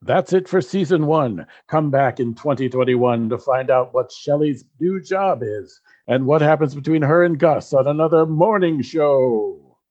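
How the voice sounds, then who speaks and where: A man's voice: on the high side, measured pace, darkish tone, lo-fi sound. A man reading scripted narration for a comedy radio drama.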